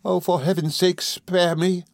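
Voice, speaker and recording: A man reading a classic novel, voicing an anguished fallen young woman, soft, tearful and imploring. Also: high-pitched, flat delivery, unhurried, gravelly, no room sound, studio quality, pitched above the performer's own voice. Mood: pleading, tearful.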